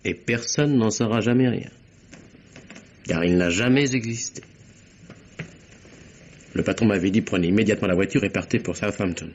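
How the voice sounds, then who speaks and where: An actor playing an earnest secretary in a vintage French radio mystery, speaking in a measured, formal and precise way. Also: male voice, lowish voice, lightly acted. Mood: insistent, grave. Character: earnest male secretary recounting a deadly affair. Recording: dull vintage radio recording, faint hiss.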